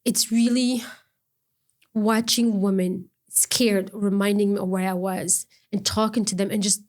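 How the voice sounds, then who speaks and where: A middle-aged woman guest on an interview, speaking earnestly in a halting way with frequent restarts and broken-off words. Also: medium pitch, steady tone, a little mellow. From Ontario, Canada. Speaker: guest, non-profit founder. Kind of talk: conversational interview.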